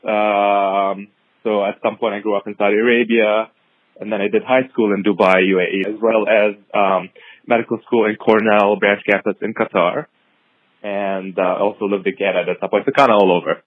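A man's voice: on the low side, little pitch movement, no echo. Thoughtful man on a tinny line. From Syria. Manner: measured, thoughtful, many ums and pauses. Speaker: hematology-oncology fellow, podcast guest. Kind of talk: interview answers. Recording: tinny phone-like audio.

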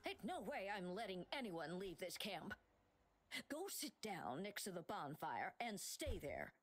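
A woman's voice: fairly low pitch, sing-song, a little slow, soft background music. An actress playing a bossy, indignant old village woman who nags in folksy speech in a video game. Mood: stern ordering. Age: older. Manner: indignant, nagging.

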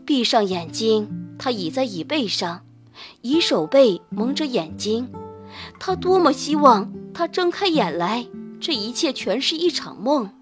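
A woman's voice: fairly high pitch, very animated, a little slow, soft background music. A woman narrating a novel in a scripted, dramatic audiobook style.

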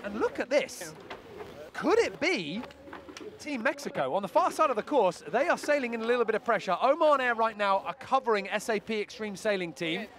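A man giving live play-by-play commentary on a sailing race, brisk and analytical. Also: high voice, expressive, a bit bright.

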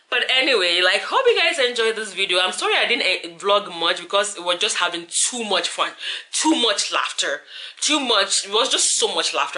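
A woman's voice: lowish voice, bright, forceful tone. A tired young woman talking to her camera from her couch late at night, chatty and rambling as she wraps up her vlog. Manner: chatty, rambling, lots of "like" and "you feel me". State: tired, voice deeper than usual. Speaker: vlogger. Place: living room couch at night. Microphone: camera held at arm's length.

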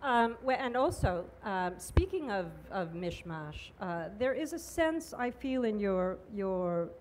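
A woman's voice: medium pitch, big pitch swings, takes it slowly, a little mellow, slight background noise. Enthusiastic middle-aged woman interviewer. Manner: enthusiastic, stumbling over long questions. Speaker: interviewer, moderator. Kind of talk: onstage public interview. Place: large outdoor festival stage pavilion. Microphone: handheld mic.

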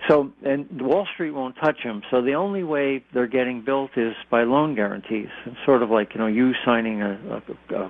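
A man's voice: medium pitch, moderate pace, few pauses, dry recording. A man in his sixties, an expert guest on a radio interview, explaining things plainly with everyday comparisons over a phone line. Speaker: expert guest, former nuclear industry executive.

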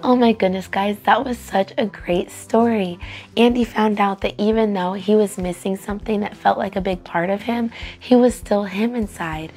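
A young adult woman hosting a children's read-aloud, narrating in an animated, clearly enunciated way. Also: medium pitch, normal speed. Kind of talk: expressive storybook narration for children. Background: soft music.

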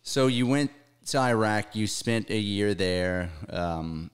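A man's voice: fairly low pitch, animated, slow. A man hosting a casual interview, prompting his guest with questions and short affirmations. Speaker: podcast host.

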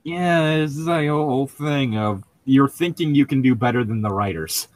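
A man gives casual, mocking commentary as a co-host. His voice sits at an ordinary male pitch and is recorded dry, with little room in it. He rambles through his opinions and swears freely. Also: moderate pace, no room sound. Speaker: co-host of a group read-along commentary show.

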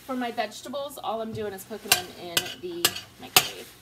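A woman's voice: medium pitch, lively intonation, brisk, heavy background noise. A woman in her forties chatting easily as she cooks in her home kitchen, recorded on a counter camera. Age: 40s. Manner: chatty, easygoing, laughs at herself. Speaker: stay-at-home mom vlogger. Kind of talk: casual cook-along chat. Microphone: camera on the counter, low angle. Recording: distant mic with loud kitchen clatter. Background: pans sizzling and cutlery clinking.

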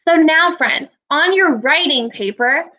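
A woman in her twenties, a teacher giving a read-aloud lesson to young children in a deliberate, instructive way. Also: sits high, sharp tone, highs cut off. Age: 20s. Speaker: elementary school teacher. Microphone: laptop webcam at arm's length.

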